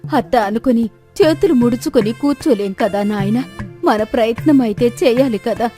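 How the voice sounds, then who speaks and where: నటి పోషించిన దిగులుపడే పల్లెటూరి తల్లి, కాస్త హెచ్చు స్వరం. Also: female voice, fairly high pitch, normal speed, mellow, faint music behind, lightly acted. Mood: lamenting. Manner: plaintive, rustic colloquial speech. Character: worried, lamenting village widow, mother of grown son. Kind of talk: dramatized moral story.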